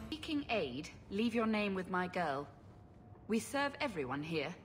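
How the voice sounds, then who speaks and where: Guarded, no-nonsense refugee leader. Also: female voice, sing-song, measured pace, soft background music. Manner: firm, plain-spoken. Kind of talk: video game voice acting. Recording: faint rumbling noise behind the voice.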